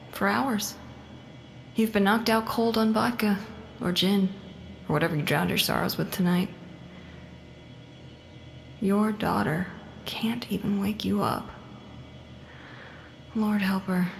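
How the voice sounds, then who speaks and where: An actress playing a vengeful, coldly controlled female patient in a psychological horror audio drama, mocking and deliberate, often dropping into breathy murmurs. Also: medium pitch, a little hiss or hum. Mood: taunting.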